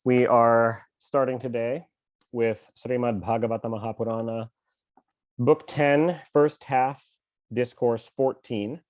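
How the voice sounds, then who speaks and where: A man who is mildly ill with a cough reads a scripture translation aloud at home, in a measured, slow recitation with pauses. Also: medium pitch, fairly even intonation, a little mellow, dry recording. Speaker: scripture reader and teacher.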